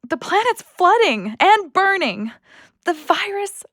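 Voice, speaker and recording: A woman's voice: very high-pitched, big pitch swings, slow, a bit bright, no room sound, studio quality, full character voice. A young woman playing a restless, anxious daughter who longs for adventure, acting earnestly in an audio play. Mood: anxious, yearning. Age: young adult.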